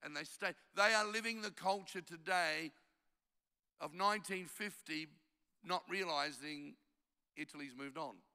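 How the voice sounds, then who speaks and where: Bright-voiced older pastor in a large hall. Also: male voice, fairly high pitch, unhurried, smooth. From Australia. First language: Italian. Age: 70s. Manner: storytelling with self-deprecating jokes, rising to emphatic exhortation. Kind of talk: conference sermon.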